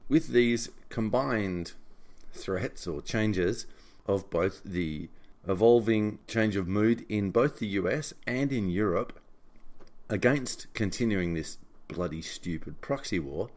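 A man giving informal solo political commentary in a deliberate, emphatic and opinionated way. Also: on the low side, darkish tone. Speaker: podcast host and writer summarizing own article.

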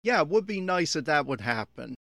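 A man's voice: fairly high pitch, very animated, a little fast, gravelly, a bit bright, dry recording, studio quality. A man giving unscripted, opinionated audio commentary on an anime episode, critical and exasperated in manner.

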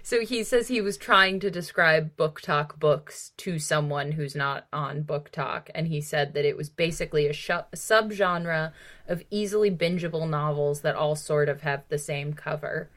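A woman's voice: low-pitched, normal speed, fairly bright tone. Emphatic woman in casual podcast chat. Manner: emphatic, exasperated, swears freely. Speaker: podcast co-host. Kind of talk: casual opinionated book discussion.

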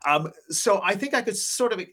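A middle-aged man gives thoughtful, measured interview answers in an academic, hedging manner. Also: high voice, expressive, a bit bright, husky. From Vancouver, Canada, and partly Asia. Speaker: interview guest, politics professor.

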